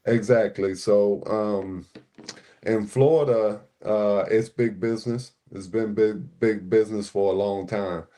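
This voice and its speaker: A man's voice: lowish voice, fairly even intonation, measured pace, dark tone. A man born in Puerto Rico and raised in Newark, New Jersey, a panel regular talking in casual livestream chat, laid-back with many "you know" fillers.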